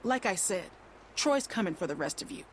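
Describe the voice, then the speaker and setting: An actress playing a brisk, hard-nosed, commanding female guard in a video game, with an American accent. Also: fairly low pitch, big pitch swings, slight background noise. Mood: curt, commanding. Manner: curt, commanding.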